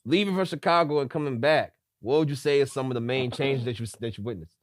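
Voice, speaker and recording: Slangy, relaxed man hosting an interview. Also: medium pitch, fast talker, no room sound, studio quality. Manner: relaxed, slangy, repeats himself as he asks questions. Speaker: podcast host. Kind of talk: casual interview.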